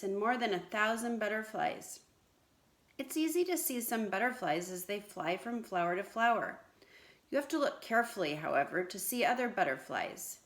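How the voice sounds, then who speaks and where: The voice is a middle-aged American woman with an average pitch. She reads aloud as a teacher does for young children, explaining things simply. The room around her is noticeably echoey, as if recorded on a camera a little way off. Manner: clear, simple explaining with questions to listeners. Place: small indoor room at home, seated on a bench. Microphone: camera or phone a short distance away.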